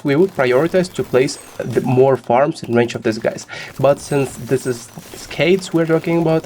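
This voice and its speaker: A man's voice: medium pitch, darkish tone, slight background noise. Man with a sick voice explaining game strategy. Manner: instructive, many "uh" fillers. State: sick, slightly rough voice. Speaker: gaming guide host.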